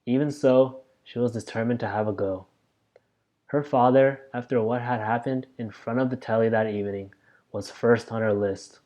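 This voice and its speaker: A man's voice: mid-range voice, fairly even intonation, moderate pace, dark tone. A man reading a children's story aloud as scripted narration. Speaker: volunteer reader.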